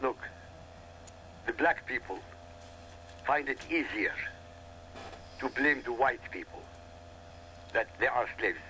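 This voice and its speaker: A man from Iraq whose first language is Arabic, lecturing forcefully and emphatically in a heated radio talk, repeating points for weight. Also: mid-range voice, slow, pauses often, slight background noise, poor audio. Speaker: guest commentator.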